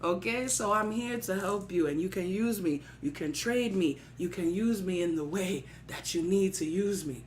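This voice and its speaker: A woman's voice: fairly low pitch, expressive, moderate pace, hardly any pauses, slight background noise, natural voice. A woman voicing an obliging money spirit in a folktale, reassuring and repeating offers to serve. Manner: reassuring, repetitive offers of help.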